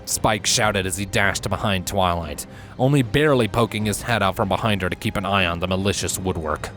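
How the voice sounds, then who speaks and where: A man's voice: deep voice, brisk, fairly bright tone. A man reading a scripted fantasy story aloud as its narrator. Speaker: fan fiction reader, narrator. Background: background music.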